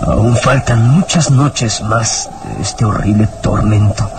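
A man's voice: on the low side, very noisy. A man playing a gaunt, tormented man brooding in dread, in an old radio drama. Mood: anguished dread. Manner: anguished, brooding, slow dramatic monologue. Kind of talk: old Latin American radio drama acting.

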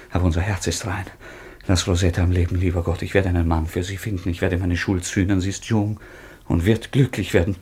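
A man's voice: low voice, darkish tone, natural voice. A man playing a passionate, impulsive young nobleman in a radio drama, with a lyrical, fervent, declamatory delivery. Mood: horrified, pleading. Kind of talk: theatrical German radio drama acting.

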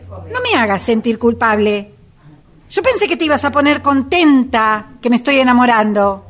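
A woman acting a high-voiced, defiant Argentine sister. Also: expressive, moderate pace, fairly bright tone. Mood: defensive, defiant. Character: woman in love, defiant, weary caregiver sister. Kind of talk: amateur radio drama acting.

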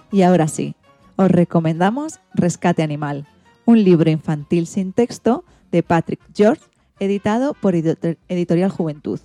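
A woman hosts a radio show, reading her script. Her voice sits fairly low and has a soft, mellow color. The sound is close and dry. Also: dry recording. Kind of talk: scripted magazine-show presenting.

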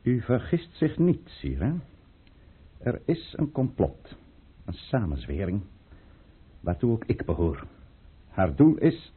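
An actor playing a calculating, deferential count in an old radio drama, speaking in a measured and grave manner. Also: male voice, fairly low pitch, big pitch swings, breathy edge, exaggerated voice. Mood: solemn. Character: calculating, courtly count, a conspirator serving the tsar. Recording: muffled, narrow-band old radio recording.

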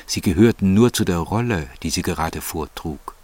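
Male narrator, low voice, dry sound, scripted literary storytelling. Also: moderate pace, darkish tone, breathy edge, dry recording.

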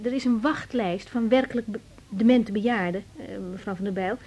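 An actress playing a reasonable young family doctor in a radio drama, patient and measured with some hesitation. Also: female voice, fairly high pitch, darkish tone, slightly rough. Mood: firm, reasoning. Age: young adult. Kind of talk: 1980s Dutch radio drama acting.

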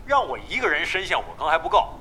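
A man's voice: fairly high pitch, huge pitch swings, fast, slight background noise, heavily acted. A man playing a brash, cocky gamer in an audio drama, swaggering and slangy in manner. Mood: indignant. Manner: swaggering, loud-mouthed, slangy. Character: brash, cocky, shameless male gamer buddy. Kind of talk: comic audio drama acting.

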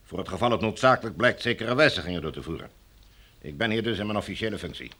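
An actor playing a formal, domineering military commander in a radio drama, smooth and coldly menacing. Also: male voice, fairly low pitch, expressive, quick pace, pushed and bright. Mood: officious, imperious. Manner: formal, officious, bureaucratic.

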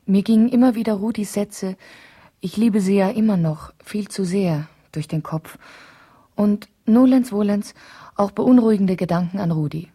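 A woman's voice: mid-range voice, mellow, husky. An actress playing a wife in a radio play, confiding and hesitant as she thinks aloud over an emotional letter. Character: wife writing an emotional letter to a trusted friend.

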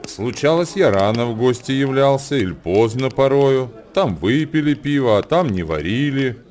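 An actor in a radio play voicing a grave male wanderer who recites ancient wisdom verse in a deliberate, declamatory way. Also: mid-range voice, animated, darkish tone, lightly acted. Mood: grave, reflective. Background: music.

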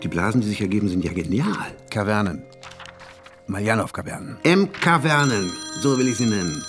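A man's voice: on the low side, animated, normal speed, over music, natural voice. An actor playing a harried, irritable male mathematician in a German radio drama, given to exasperated muttering and lecturing. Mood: absorbed.

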